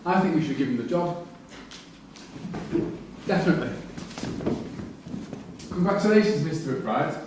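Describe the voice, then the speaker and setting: Outspoken supportive convict in stage drama, distant voice with faint noise behind. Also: male voice, fairly high pitch, lively intonation, unhurried, long pauses. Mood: supportive, cheeky. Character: outspoken, supportive male prisoner. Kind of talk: staged play reading. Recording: distant echoey hall with background noise.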